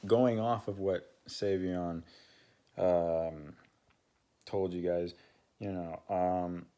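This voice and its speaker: A young man with a deep voice, talking casually to his channel's viewers and rambling with many fillers and restarts. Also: fairly even intonation, drawn-out words, mellow. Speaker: channel co-host. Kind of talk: casual talk to camera.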